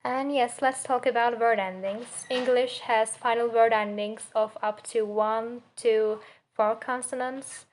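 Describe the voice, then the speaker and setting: A young woman with a Turkish accent presents like a student giving an assignment. Her voice sits fairly high and stays quite flat. She goes slowly and haltingly, searching for words and setting out examples with care. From Turkey. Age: young adult. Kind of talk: spoken assignment presentation on pronunciation.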